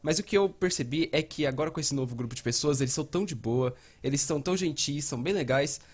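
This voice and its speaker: A man's voice: fairly high pitch, quick pace, breathy edge. A Brazilian man voicing a breezy, socially savvy young woman, chatty and falsely innocent, in an explainer skit. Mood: breezy, pointed.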